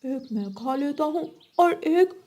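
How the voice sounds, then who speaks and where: A woman's voice: high voice, expressive, slow pace, warm tone. A woman voicing a sly, greedy young male crow in a children's cartoon, smug and relishing.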